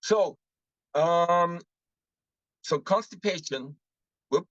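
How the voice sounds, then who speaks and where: Guy teaching interpreters online, kinda high voice, lively, dull dry mic sound. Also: very slow, no echo. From Mexico. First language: Spanish. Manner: animated teaching, frequent rhetorical questions, switches into Spanish examples. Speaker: webinar presenter on medical interpreting. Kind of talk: live webinar lecture. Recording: muffled lo-fi dry webinar audio.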